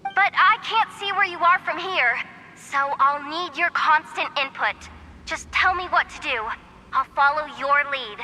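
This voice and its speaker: A woman playing a plucky, hot-headed young tech tinkerer in a video game, with an expressive, theatrical delivery as if talking herself through things. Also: sits high, measured pace, long reverb tail, slight background noise, full character voice, low-quality recording. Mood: focused. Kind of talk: English video game voice acting. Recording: game music behind the voice.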